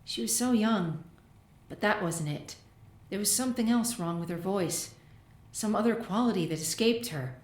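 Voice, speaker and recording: A woman's voice: medium pitch, moderate pace. A woman narrator reading a scripted fan-fiction story expressively.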